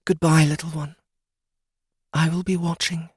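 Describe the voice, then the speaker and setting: A woman playing a stern goddess of nature in an audiobook, speaking formally and deliberately, with an imperious manner. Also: low voice, flat delivery, darkish tone, slightly rough, no echo, studio quality, exaggerated voice. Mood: measured, imperious. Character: stern, regal goddess of nature, solemn and commanding. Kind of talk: scripted audiobook character acting.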